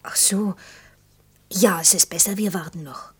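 Husky, guarded young woman. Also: lowish voice, a little slow, a bit bright, no echo, exaggerated voice. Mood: cheeky. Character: guarded, laconic young woman, naive yet cheeky visitor. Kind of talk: naturalistic German radio play dialogue.

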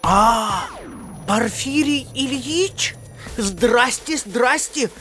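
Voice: mid-range voice, animated, drawn-out words, fairly bright tone, soft background music. An actor playing a servile, flustered office-clerk father who repeats himself obsequiously, in a children's audio play. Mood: eager, servile.

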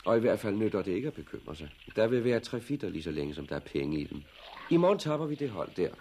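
An actor playing a brooding, cynical plant expert in a 1960s radio drama, deliberate and matter-of-fact about grim things. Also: male voice, fairly low pitch, big pitch swings, a little mellow, a little hiss or hum. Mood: brooding, ominous.

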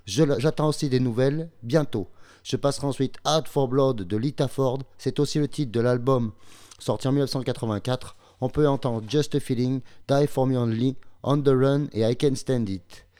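Male radio music presenter, mid-pitched, soft mellow tone, introducing tracks. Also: moderate pace, clear, resonant tone. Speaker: radio host. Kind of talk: music show presenting, announcing songs.

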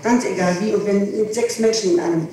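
A woman's voice: mid-range voice, brisk, warm tone. A woman testifying under questioning as a defendant, hesitant and evasive, often repeating her phrases. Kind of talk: courtroom testimony. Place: large echoing room, distant mic. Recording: echoey, noisy archival tape from far off.

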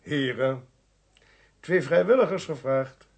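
An actor playing a shrewd, commanding male investigator in a radio play, brisk and dryly ironic. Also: mid-range voice, creaky, darkish tone, breathy edge, exaggerated voice. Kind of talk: Dutch radio play acting.

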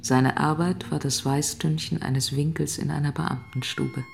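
A woman's voice: low-pitched, fairly even intonation, a little slow, vocal fry, a little hiss or hum. An actress playing a sombre female narrator in a literary radio play.

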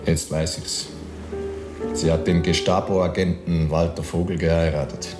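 A man's voice: low-pitched, little pitch movement, unhurried, over loud music. Grave, roomy-voiced knowing man. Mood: scornful, musing. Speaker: actor in a radio play. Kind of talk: German radio drama dialogue.

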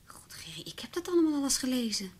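A woman's voice: high-pitched, expressive, fast, a little mellow. An actress in a radio play playing a sceptical, jealous female partner with a blunt, dry way of talking. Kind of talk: naturalistic radio play dialogue.

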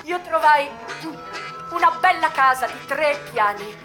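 A woman's voice: high-pitched, lively intonation, a little slow. An actress playing a brazen, worldly American brothel madam in a theatrical radio monologue, drawing out her words and savouring every list. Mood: proud, brisk. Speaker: stage actress. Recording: music playing behind the voice.

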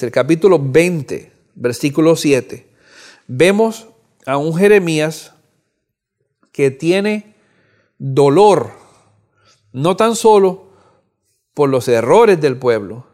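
A man's voice: a little high, darkish tone. A man in his fifties from Cuba, giving an earnest Bible study commentary and often repeating words as he gathers his thoughts. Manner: earnest, repeats words while thinking, rising on emphasis. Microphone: audio recording.

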